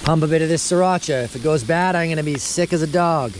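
A man's voice: a little high, fast talker. A young man vlogging casually while he cooks outdoors beside his truck, upbeat and chatty, laughing often and talking to his viewers, recorded on the camera mic. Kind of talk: casual vlog while cooking. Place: outdoors in a snowy parking lot by a pickup truck. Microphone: camera mic, handheld and head-mounted point of view. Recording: noisy outdoor camera audio.

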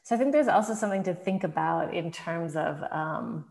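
A woman's voice: lowish voice, normal speed, gravelly, a little mellow. A woman moderating a discussion over a video call, asking thoughtful, searching questions and feeling her way through them. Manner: hesitant, searching, many fillers and restarts. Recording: roomy video-call audio.

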